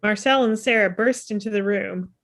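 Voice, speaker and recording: A woman's voice: medium pitch, moderate pace, a bit bright. A woman reading scripted stage directions as narrator of a table read over video call. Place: indoor room on a video call.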